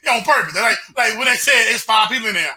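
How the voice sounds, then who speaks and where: A man's voice: high voice, expressive, very fast, sharp tone. A man in casual podcast conversation, speaking in loose slang and often checking in with his listeners. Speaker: podcast panelist. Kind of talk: casual chat.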